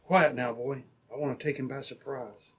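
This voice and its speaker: A man playing a steady, brave Mountie sergeant in an old-time radio adventure, brisk, assured and commanding. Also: medium pitch, heavy background noise, natural voice.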